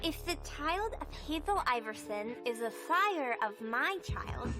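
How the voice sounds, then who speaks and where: A little vampire girl in a video game cutscene, high and childlike. She takes her time in a sing-song, playful, pleading way, with loud music underneath. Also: animated, measured pace, poor audio.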